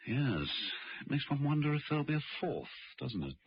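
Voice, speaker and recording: An actor playing a suave, unflappable gentleman secret agent with an upper-class English accent and a droll, urbane delivery in a radio drama. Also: fairly high pitch, very animated, fairly quick, loud music behind the voice. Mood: musing. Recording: dull lo-fi old radio recording.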